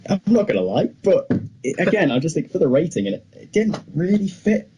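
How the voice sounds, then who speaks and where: A man's voice: on the high side, sing-song, fairly quick, warm tone. A young British man co-hosting a film podcast, chatting casually as he reviews movies. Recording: clearly noisy background ambience.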